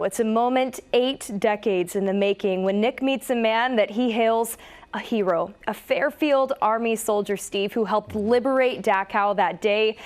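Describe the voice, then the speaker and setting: Mid-pitched woman news presenter. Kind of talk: conversational studio news teaser.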